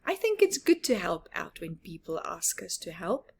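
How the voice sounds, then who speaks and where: A woman's voice: lowish voice, big pitch swings, normal speed, breathy edge. A woman reading a picture book aloud expressively for young children, putting questions to them and often dropping toward a whisper. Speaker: children's storyteller.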